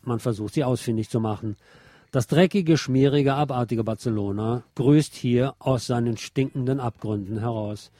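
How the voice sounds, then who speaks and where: A man's voice: medium pitch, normal speed, dark tone, no room sound. A man presenting scripted radio news with pointed commentary, recorded in a studio with music behind him.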